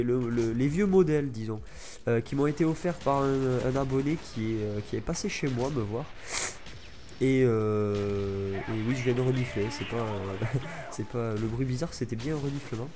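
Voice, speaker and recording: A man's voice: medium pitch, background noise. A young man from France with a stuffy head cold, rambling chattily over his gameplay with many fillers. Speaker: gaming YouTuber. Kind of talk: gameplay commentary.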